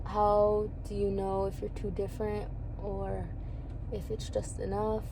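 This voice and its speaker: A woman's voice: medium pitch, completely flat delivery, a little slow, noisy background. A woman in her early twenties talking candidly about her feelings in a rambling personal vlog, laughing now and then. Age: 20s. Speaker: vlogger.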